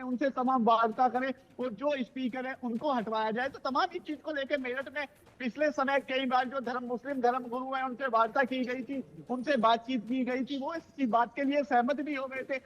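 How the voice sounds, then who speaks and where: A man's voice: very high voice, fairly bright tone, big-room sound, highs cut off. A man reporting live as a news correspondent, unscripted, wordy and repetitive with stock filler phrases, over a broadcast link.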